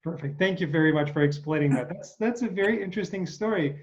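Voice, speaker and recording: A man from Ukraine, hosting an interview and asking questions in a halting way, full of fillers. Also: high-pitched, dull audio. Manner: halting, many fillers, encouraging. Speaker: interview host. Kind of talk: casual interview.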